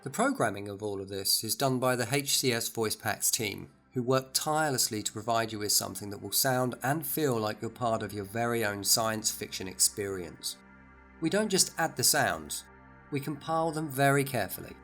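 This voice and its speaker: A man's voice: mid-range voice, normal speed, a bit bright, faint music behind. A man narrating a scripted product overview in an enthusiastic, explanatory way.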